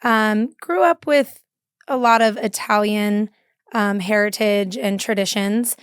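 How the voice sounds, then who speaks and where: Guest, woman in her thirties from San Diego, smooth higher voice, dry sound, relaxed and easygoing. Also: takes it slowly, no room sound. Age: 30s. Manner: easygoing, many fillers and yeahs. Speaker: podcast guest. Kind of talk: casual interview chat.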